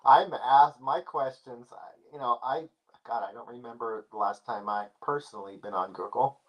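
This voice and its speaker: A man speaking as a guest expert in a casual interview, explaining things in a relaxed way with many fillers. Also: medium pitch, moderate pace, rough recording.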